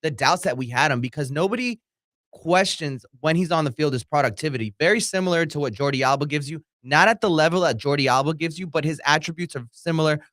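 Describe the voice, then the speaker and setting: A man's voice: on the high side, quick pace, fairly bright tone, dry recording. Man giving football analysis, dry sound. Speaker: sports show co-host and analyst.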